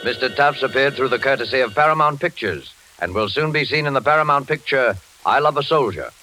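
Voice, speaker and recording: A man's voice: medium pitch, lively intonation, brisk, pushed and bright, poor audio. A man reading scripted announcements in a 1940s radio style. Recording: old radio recording with music. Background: music.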